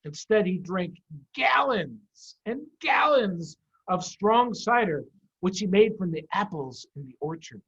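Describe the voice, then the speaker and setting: Husky man reading to children. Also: high-pitched. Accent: American. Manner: animated, emphatic storytelling with dramatic pauses. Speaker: head of school reading to children. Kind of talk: story time read-aloud for young children. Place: online video call. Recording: dull, muffled online video call.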